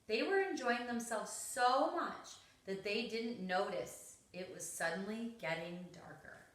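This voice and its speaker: A woman's voice: mid-range voice, a little slow, fairly bright tone. A woman reading a children's story aloud, expressively and with dramatic emphasis. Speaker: storybook reader. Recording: roomy, echoing home recording.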